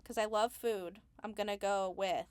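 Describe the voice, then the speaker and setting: A woman being interviewed as a Foley artist, talking casually and haltingly as she thinks aloud. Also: medium pitch, steady tone, clear, resonant tone, no room sound.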